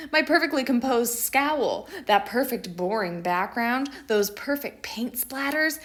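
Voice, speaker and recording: Woman reading as a dramatic, mischievous schoolboy, very animated. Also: mid-range voice. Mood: dramatic dismay. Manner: theatrical, emphatic, dramatic pauses. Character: mischievous, dramatic schoolboy narrating his own picture day. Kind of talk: first-person picture book storytelling.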